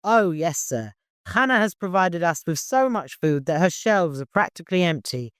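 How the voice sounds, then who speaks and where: A man's voice: high voice, animated, moderate pace, clean tone, dry recording, studio quality, voice pushed up. A man voicing a genial, deferential, rotund married provisioner in an audiobook story. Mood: cheerful, deferential.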